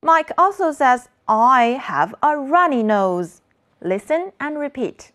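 A woman's voice: high voice, sing-song, takes it slowly, fairly bright tone. A woman teaching children an English lesson, modeling words for the class to repeat.

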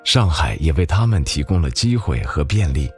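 Deep-voiced male feature narrator. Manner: measured, even-paced reading. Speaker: documentary narrator. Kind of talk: scripted news feature narration. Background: background music.

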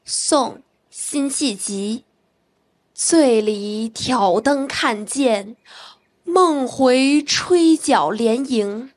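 Young woman reciting very slowly. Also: high voice. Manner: measured, deliberate recital. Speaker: student. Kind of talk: slow classical poem recitation.

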